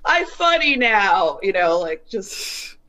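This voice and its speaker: A woman's voice: medium pitch, very animated, takes it slowly, bright, forceful tone, poor audio. A woman co-host chatting casually and sharing anecdotes from friends. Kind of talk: casual chat.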